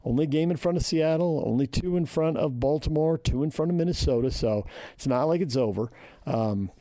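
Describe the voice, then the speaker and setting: A man's voice: on the high side, a little fast, warm tone, no room sound. An American man hosting a sports radio show, talking casually and thinking aloud in short phrases.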